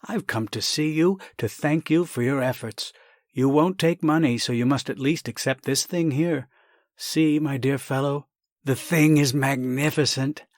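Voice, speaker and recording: A man's voice: mid-range voice, unhurried, dry recording, clean studio sound, pitched above the performer's own voice, lightly acted. A man voicing a hesitant, embarrassed, proper family-man doctor in a comic story, fussy and hemming and hawing. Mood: scheming, hearty. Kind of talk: acted reading of a comic short story.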